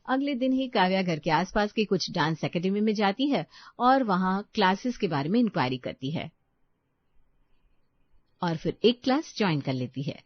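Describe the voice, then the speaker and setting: A woman's voice: medium pitch, lively intonation, moderate pace, no room sound, dull audio. A woman reading scripted narration for a bedtime story.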